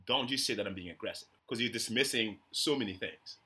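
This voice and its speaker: A young Canadian man hosting a podcast, talking emphatically in casual conversation with a naturally loud voice. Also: on the low side, a little fast, slightly rough. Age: young adult. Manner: emphatic, says he has a loud voice. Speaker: podcast host.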